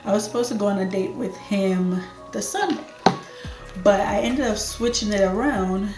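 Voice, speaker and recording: A woman's voice: medium pitch, moderate pace, roomy sound, music in the background. A Jamaican woman in her thirties, chatting casually and unhurriedly through a get-to-know-me Q&A for her channel, laughing easily. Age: 30s. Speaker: lifestyle YouTuber.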